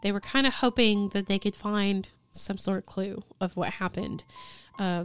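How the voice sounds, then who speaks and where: An American woman hosting a podcast, retelling a true-crime story in an informal, conversational way. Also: medium pitch. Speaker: podcast host.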